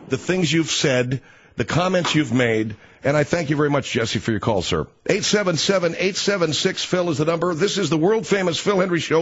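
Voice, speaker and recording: A man hosting a call-in radio show, who talks in an animated, needling, incredulous way. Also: a little high, muffled recording.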